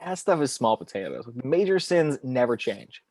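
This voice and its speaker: A man's voice: medium pitch, big pitch swings, moderate pace, darkish tone, dry recording. A man playing a cynical, cocky angel of death in a film script table read, arguing in a slangy, opinionated way. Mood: argumentative.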